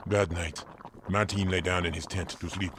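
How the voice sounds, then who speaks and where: Deep guy narrating a story, scripted, music behind. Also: flat delivery, moderate pace.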